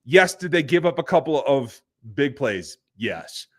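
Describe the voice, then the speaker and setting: A man's voice: medium pitch, sing-song, measured pace, a bit bright. A man hosting a live post-game sports reaction show, with a fired-up, ranting delivery. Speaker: podcast host.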